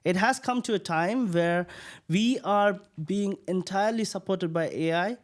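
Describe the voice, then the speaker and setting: A man's voice: high voice, normal speed. A young man speaking as an entrepreneur guest in casual interview chat, confident and emphatic. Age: young adult. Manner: confident, emphatic, repeats key words.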